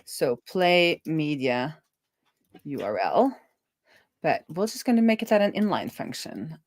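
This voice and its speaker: A woman talking casually as she live-codes, thinking aloud in a playful, animated manner. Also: low-pitched, measured pace. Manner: animated, playful, breaks into laughter and song. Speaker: live-coding streamer, web developer.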